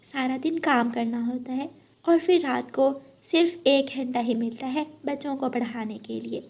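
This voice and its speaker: A woman voicing a gentle, sensible mother bird in a children's moral cartoon, speaking instructively. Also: high voice, moderate pace, creaky.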